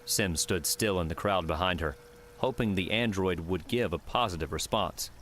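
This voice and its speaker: A man narrates a scripted story as an audiobook reader. His voice is deep, and there is faint noise behind it. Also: moderate pace, a bit bright.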